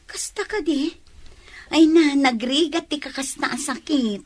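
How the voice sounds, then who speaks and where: A woman's voice: high voice, unhurried, a little mellow. A woman with an Ilocano accent playing a chatty, sympathetic village friend in a radio drama, exclaiming with concern.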